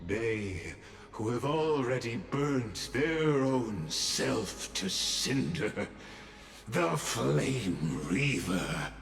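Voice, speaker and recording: A man's voice: mid-range voice, big pitch swings, extremely slow, gravelly, full character voice. A man playing a grave, ominous video game warrior villain with solemn, declamatory delivery. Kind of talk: dramatic video game voice acting. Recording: game music over the voice. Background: game music.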